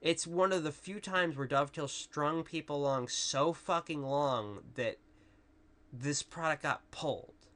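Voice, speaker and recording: Deep-voiced goth woman streaming. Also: unhurried, fairly bright tone. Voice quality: really deep voice. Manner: rambling, profane, chatting with viewers. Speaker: VTuber streamer. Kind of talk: casual live game stream commentary.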